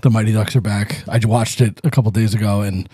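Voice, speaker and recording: A man's voice: lowish voice, fairly even intonation, brisk, warm tone, husky. A man with an ordinary mid-range voice, playful and insistent as he tells a story to his friends. He co-hosts a loose podcast chat over drinks. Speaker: podcast co-host. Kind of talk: casual drinking banter.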